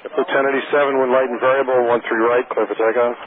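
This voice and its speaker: A man's voice: medium pitch, level tone, fast talker. A man working as an air traffic controller, giving clipped procedural instructions over a radio. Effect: radio transmission. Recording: narrowband radio audio with faint static.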